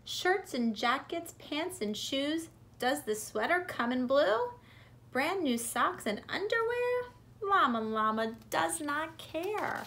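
Woman, forties, high voice, slow rhythmic storybook reading for young children, noisy home recording. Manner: expressive, rhythmic, deliberate delivery for young children. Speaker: preschool teacher reading aloud. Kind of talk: children's picture book read-aloud. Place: bedroom at home.

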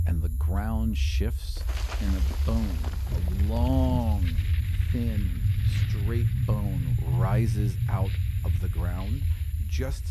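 A man's voice: lowish voice, dark tone, slight background noise, low-quality recording. A man in his thirties improvising narration as game master, close on a podcast microphone in a small room. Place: small studio room, indoors. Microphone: close podcast microphone on a stand.

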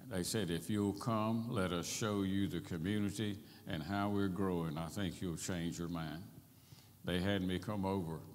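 Deep-voiced elderly preacher in echoing hall. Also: male voice. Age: 70s or older. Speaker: Baptist pastor. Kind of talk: sermon with personal storytelling. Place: large church sanctuary, at a pulpit. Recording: reverberant church hall.

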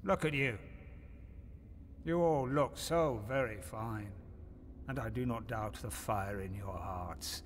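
An actor playing a world-weary veteran space marine medic, measured and sombre, in an animated sci-fi film. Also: male voice, on the low side, slight background noise. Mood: weary warning.

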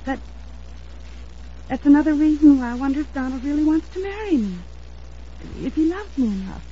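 A woman's voice: high-pitched, expressive, brisk, mellow. An actress playing an anxious, earnest young woman in an old-time radio drama, with dramatic, hesitant delivery. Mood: urgent, worried. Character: earnest, anxious young woman, defensive about her sweetheart. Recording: dull old radio recording.

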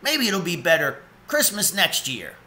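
Out-of-work beaver pal man narrator doing him: downbeat storybook youngster, resigned, slow, very bright voice. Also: sits high, big pitch swings, creaky, pitched above the performer's own voice, full character voice.

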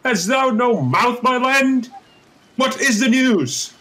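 A man's voice: very high voice, slow, bright, forceful tone, exaggerated voice. A man playing a pious, kindly old courtier in a Shakespearean radio play, declaiming verse with fervor. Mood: excited. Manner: fervent, declamatory verse delivery. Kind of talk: Shakespearean radio drama acting.

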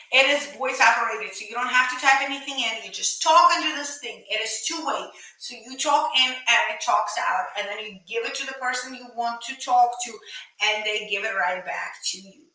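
A woman in her thirties hosting a live shopping stream, chatty and excited, talking straight to her viewers from a desk in a small home office. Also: fairly high pitch. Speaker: livestream shopping host. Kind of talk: casual live stream chat. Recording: echoey room, mic far off.

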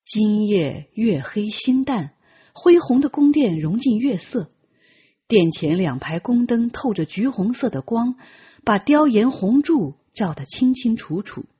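A woman narrating a novel as an audiobook, with measured, expressive dramatic storytelling. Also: medium pitch, husky, highs cut off.

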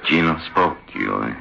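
An actor playing a haunted, despairing stage ventriloquist in a radio horror drama, halting and weary. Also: male voice, very deep voice, vocal fry, pushed and bright, slightly rough, heavily acted. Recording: muffled old radio recording, faint noise.

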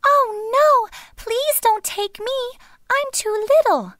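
Tiny quivering billy goat, very high. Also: female voice, takes it slowly, pushed and bright, no echo, clean studio sound, heavily acted. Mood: frightened, pleading. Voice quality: wee, quivering. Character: tiny young billy goat, timid and pleading.

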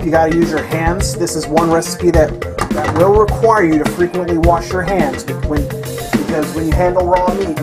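A young man hosting a casual home cooking tutorial in his kitchen, talking through each step with frequent restarts and self-corrections. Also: a little high. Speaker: cooking show host.

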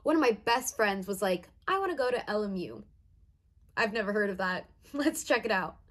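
A young woman playing an earnest first-generation college student in a conversational, emotive dramatized monologue with hesitant pauses. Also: mid-range voice, lively intonation, a bit bright. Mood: fond, candid. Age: young adult.

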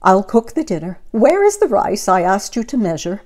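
A woman voicing an exasperated, excitable lady of the house in a storybook, with shrill, dismayed exclamations. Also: moderate pace. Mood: brisk asking.

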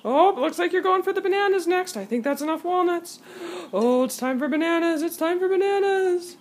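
A woman's voice: very high-pitched, animated, fairly quick, a little hiss or hum. A woman hosting a home cooking video from off camera, with exaggerated, teasing mock-TV-host enthusiasm, recorded on a phone in a home kitchen. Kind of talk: playful mock TV-host banter. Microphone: phone camera from off screen.

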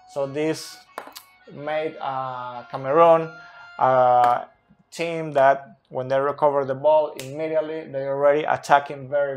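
A man in his thirties who is not a native English speaker, explaining football tactics unscripted at a whiteboard, slow and deliberate with many pauses. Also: mid-range voice. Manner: slow and deliberate, pausing often. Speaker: football analyst. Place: small room by a whiteboard.

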